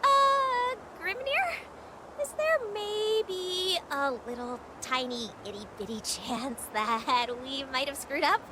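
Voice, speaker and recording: A woman playing a spirited young heroine captain in a video game dub, breezy, informal and expressive. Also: high-pitched, slow, heavy background noise. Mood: sheepish. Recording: voice over background music.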